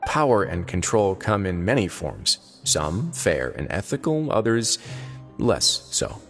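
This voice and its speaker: A video game duke with a fairly low voice. He is courteous and self-possessed, speaking in measured phrases with wry understatement. Music sits behind the voice. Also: sing-song, a bit bright, full character voice. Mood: wry, composed. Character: composed, wry, courteous male duke ruling a prison.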